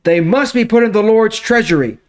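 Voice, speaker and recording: Man acting out high, urgent commanding leader. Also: expressive, a little fast. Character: commanding male Israelite leader rallying his people.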